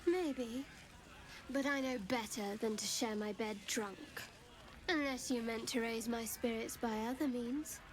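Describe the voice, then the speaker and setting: A woman's voice: a little high, measured pace, a little hiss or hum, lightly acted, poor audio. An actress playing a haughty, bored female warrior in a game, with dry, scornful sarcasm. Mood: dry, teasing.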